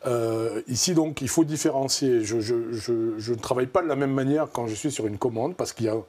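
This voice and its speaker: A man's voice: medium pitch, brisk, dark tone. A man in his fifties with a southwestern French accent, a guest in a TV studio interview, talking thoughtfully with lots of fillers. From southern France. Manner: thoughtful, gestures while talking, many fillers. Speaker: comic artist guest. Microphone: lapel.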